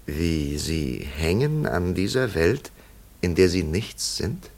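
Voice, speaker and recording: A man's voice: sits low, very animated, slow pace, creaky, darkish tone, slight background noise, exaggerated voice. An actor playing an earnest, skeptical, rational man in a radio play, formal and probing. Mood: questioning. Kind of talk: German radio play acting.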